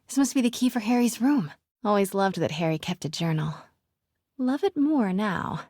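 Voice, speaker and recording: A young woman reporter played by an actress thinks out loud as she investigates in a video game. Her mid-pitched voice is soft and breathy, often hushed, with a caring, determined temper. The sound is close and dry. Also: expressive, moderate pace, no room sound, natural voice. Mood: musing. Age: young adult. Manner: natural, musing aloud, often dropping to hushed murmurs. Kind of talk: video game exploration dialogue, musing to herself.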